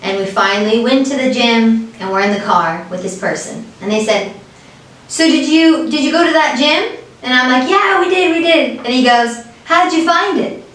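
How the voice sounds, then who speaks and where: American woman, playful storyteller, slightly high voice, very echoey, off-mic, faint hiss. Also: expressive, moderate pace, fairly bright tone, recorded from a distance. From United States. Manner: playful storytelling, laughing and acting out quotes. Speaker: co-host. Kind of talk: casual chat.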